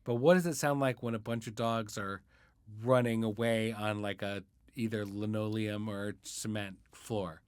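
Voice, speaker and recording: A man's voice: lowish voice, fairly even intonation, moderate pace, darkish tone, smooth, no room sound. A man hosting a podcast interview in a conversational style, setting things up and asking questions.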